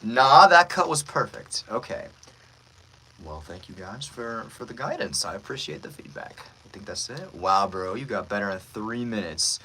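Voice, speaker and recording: A man's voice: lowish voice, big pitch swings, normal speed, pushed and bright, breathy edge, low-quality recording. A man who works as a voice actor, hosting a casual livestream and politely answering viewer comments with self-deprecating asides.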